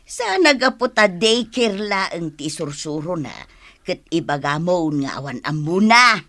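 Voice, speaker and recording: Reproving Ilocano village woman, bright voice. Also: lowish voice, sing-song, measured pace, exaggerated voice. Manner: reproving, earnest. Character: sensible village woman who scolds a gossip, defending others. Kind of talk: Ilocano radio drama acting.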